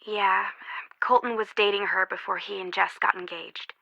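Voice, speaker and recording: A guarded, anxious young woman from a mystery video game. Her voice is bright and forward, sitting at an average female pitch. The recording is very dry and close. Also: fairly even intonation, normal speed, vocal fry, no echo, muffled recording. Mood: candid, dry. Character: worried, guarded young woman, friend of missing girl.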